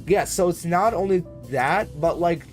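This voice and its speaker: A man's voice: high voice, unhurried, faint music behind. A man chatting casually as he live-streams a flight simulator, in a rambling, slangy, conversational way. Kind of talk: casual live-stream chat while gaming.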